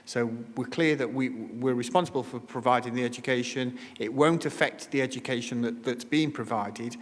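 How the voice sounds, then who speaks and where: A man explains policy in a measured, careful way, often restarting his sentences. His voice sits in the middle of the male range and carries a long, reverberant ring from the council chamber. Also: mid-range voice. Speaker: council officer answering questions. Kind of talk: formal meeting explanation.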